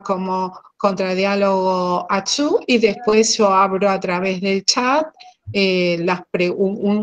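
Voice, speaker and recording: A woman's voice: fairly low pitch, highs cut off. Hesitant Argentine woman moderating. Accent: Argentine Spanish. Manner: hesitant, full of fillers. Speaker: webinar moderator. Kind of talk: moderating an online panel.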